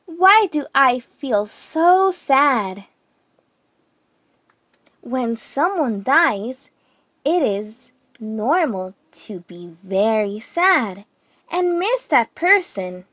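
Lady reading a kids picture book, higher voice, really up and down, dry close sound. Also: sing-song, very slow, fairly bright tone, no echo. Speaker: children's book reader. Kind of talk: read-aloud of a children's picture book.

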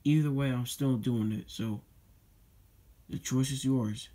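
A man's voice: mid-range voice, fairly even intonation, measured pace, warm tone. A teenage boy hosting his own video channel, talking casually with many fillers and a repeated "guys" while showing a disc case at his desk. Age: teens. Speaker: YouTube channel host. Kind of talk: casual vlog unboxing talk.

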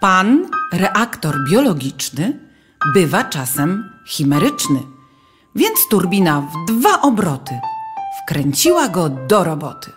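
Animated low-voiced woman reciting rhymes. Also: slow, music in the background. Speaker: tongue-twister reciter. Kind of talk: playful rhymed recitation for children.

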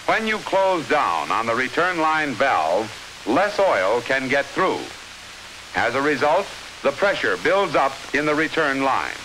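An American man reading scripted 1950s instructional film narration in a measured, clearly enunciated, authoritative manner. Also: medium pitch. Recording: hissy 1950s film soundtrack.

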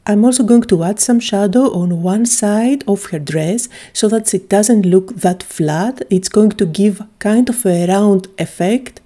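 A woman's voice: medium pitch, unhurried, hardly any pauses, mellow. A woman from Greece, chattily and enthusiastically narrating a craft tutorial, with frequent little "uh" fillers.

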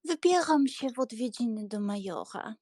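A woman playing a doting, scheming elderly aristocratic aunt in an audiobook drama, coaxing and expressive. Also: fairly high pitch, normal speed, dry recording, studio quality.

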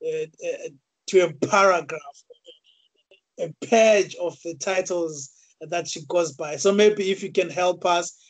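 A man in his thirties hosts an interview over a video call from a small room, his voice a little high for a man. He stumbles and repeats words, and the sound is dry and close. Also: dry recording, rough recording. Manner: halting, many fillers and repeated words. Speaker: interview host. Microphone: video call webcam.

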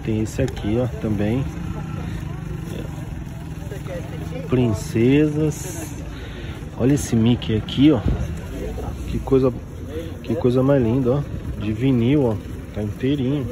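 A Brazilian man with a Brazilian Portuguese accent, filming a casual walkthrough of an outdoor flea market on a handheld phone and excitedly pointing things out as he goes. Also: medium pitch, slow pace, long pauses. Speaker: toy collector vlogger. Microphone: handheld phone camera. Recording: busy crowd noise outdoors. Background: market crowd chatter and traffic.

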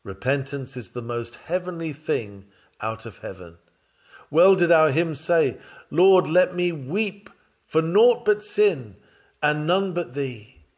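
A man's voice: on the high side, expressive, slow pace. A man reading a sermon aloud in an earnest, oratorical way, with emphatic, dramatic phrasing. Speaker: reader of a historical sermon.

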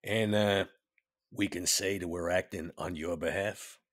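A man playing a gruff, folksy vampire henchman with a gravelly, husky voice, bargaining casually in a roleplay game. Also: low-pitched, fairly even intonation, a little slow. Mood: wheedling, casual. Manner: colloquial, hesitant bargaining. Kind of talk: tabletop roleplay acting.

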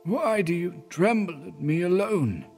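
A man's voice: sits high, animated, measured pace, vocal fry, a little mellow, faint music behind, pitched above the performer's own voice, exaggerated voice. A man voicing a dying old clergyman who speaks faintly and solemnly, in a storybook reading. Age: older.

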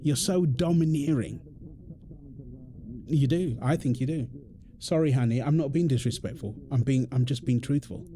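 A man's voice: mid-range voice, normal speed, mellow, a little hiss or hum, lightly acted. A British man playing an exasperated, defensive husband in an audio roleplay, arguing in an insistent, repetitive way. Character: exasperated, defensive husband and father quarrelling indignantly. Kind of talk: dramatic one-sided argument roleplay.

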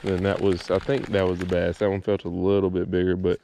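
A man with a fairly low voice talks casually to camera from a kayak. He rambles easily, thinking out loud. The action-camera audio has clear outdoor noise and clicking. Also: monotone, brisk. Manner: easygoing, rambling, thinking aloud. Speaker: fishing vlogger. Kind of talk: casual chat to camera while fishing. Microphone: action camera mounted on the kayak or body.

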